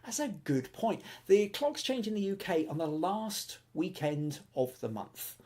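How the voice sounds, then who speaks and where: A man's voice: high-pitched, very animated, measured pace, darkish tone. Chatty man hosting a live stream. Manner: chatty, self-deprecating, lots of fillers. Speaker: photography podcast host. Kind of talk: live-streamed casual talk to viewers.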